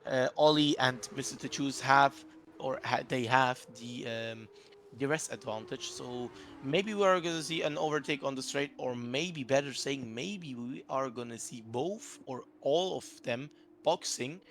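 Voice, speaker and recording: A man's voice: mid-range voice, measured pace, fairly bright tone, slight background noise. Hesitant race co-commentator, man. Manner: hesitant, many uh fillers, searches for words. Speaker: sim racing co-commentator.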